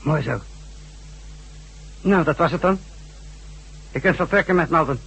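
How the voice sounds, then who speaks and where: A man's voice: a little high, measured pace, gravelly, background noise. An actor playing a menacing, smugly taunting male chief giving cold orders in a science fiction radio drama. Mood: cold, smug menace.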